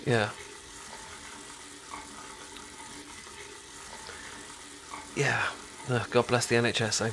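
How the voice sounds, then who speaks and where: A man's voice: medium pitch, flat delivery, creaky, noisy background, lightly acted. British guy best friend man acting it out, asmr roleplay, chatty n caring, bit stammery, kitchen sounds behind him. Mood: amused, animated. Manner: hesitant, halting, stammering, gently explaining. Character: caring, chatty male best friend teaching sushi making. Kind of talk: intimate ASMR boyfriend roleplay, acted as casual chat.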